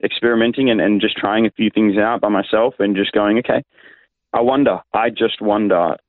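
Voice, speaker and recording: Male pastor guest, Australian, lowish voice, conversational, thin phone-band audio. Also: fairly even intonation, a little fast, dry recording. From Sydney, Australia. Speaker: interview guest, youth pastor. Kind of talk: casual conversational storytelling. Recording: tinny narrow phone-like line.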